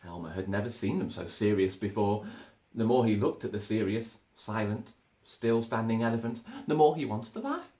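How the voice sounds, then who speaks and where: Male storyteller, thirties, lively swooping intonation, expressive children's narration, roomy indoor sound. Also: on the low side, moderate pace. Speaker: storybook reader. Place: small living room, indoors. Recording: echoey living room.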